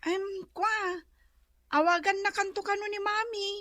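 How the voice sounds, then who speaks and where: A woman's voice: extremely high pitch, steady tone, a little slow, sharp tone, no room sound, clean studio sound, exaggerated voice. A woman voicing a young child who pleads with and scolds a parent in a whiny, nagging way, in a radio drama. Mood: nagging, pleading. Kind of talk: Ilocano comedy radio drama acting.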